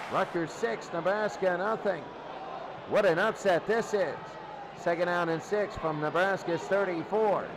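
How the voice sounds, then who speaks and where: A man doing excitable play-by-play football commentary in short, repeated phrases. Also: sits high, heavy background noise. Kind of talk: American football radio-style commentary.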